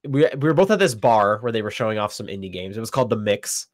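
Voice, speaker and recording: A man's voice: lowish voice, fast talker, dry recording, clean studio sound. A man with a cold and a stuffy, sniffly voice, hosting a casual podcast and animatedly acting out the lines of people in his stories. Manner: animated storyteller, acts out quoted lines. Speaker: podcast host. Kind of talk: casual chat.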